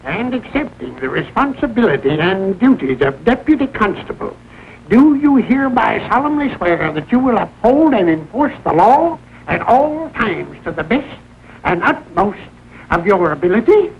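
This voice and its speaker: Small-town constable, comic and bumbling; very high, animated, crackly voice on a muffled old movie track. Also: male voice, normal speed, vocal fry, full character voice. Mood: pompous, solemn. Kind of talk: 1940s film comedy acting. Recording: dull, noisy old film soundtrack.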